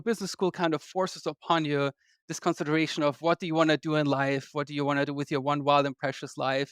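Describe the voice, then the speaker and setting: Smooth-voiced man, measured. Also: on the high side, fairly bright tone, dry recording. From Austria. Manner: measured, explanatory, polite. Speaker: guest investor. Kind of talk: podcast interview.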